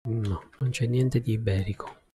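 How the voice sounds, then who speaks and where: A man chatting casually with viewers as he livestreams a game, haltingly thinking aloud. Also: on the low side, fairly even intonation, dark tone, breathy edge, no echo, clean studio sound. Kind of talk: casual chat with stream viewers while gaming.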